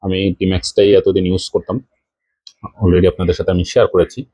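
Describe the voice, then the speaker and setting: Bangladeshi guy doing a casual bike vlog review, lowish voice, dry close sound. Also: little pitch movement, fast, warm tone, no room sound. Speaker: motorcycle vlogger.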